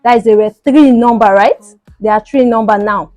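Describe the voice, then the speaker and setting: A woman's voice: fairly high pitch, a little fast, a little mellow, no room sound, soft background music. A woman with a Nigerian accent hosting a story programme, telling a story conversationally with rhetorical questions. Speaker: storytelling show host. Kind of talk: narrated personal story.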